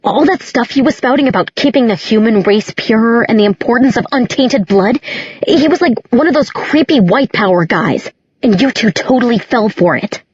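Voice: mid-range voice, moderate pace, husky, no room sound, lo-fi sound, voice pushed up, close to an everyday voice. A woman voicing a distraught teenage girl in an audiobook, emotional and incredulous, with bitter questions. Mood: indignant.

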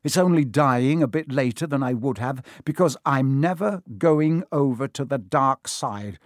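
A man's voice: medium pitch, animated, normal speed, no room sound, studio quality, natural voice. A man voicing a brave, headstrong schoolboy hero in a storybook reading, speaking with urgent insistence. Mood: impassioned.

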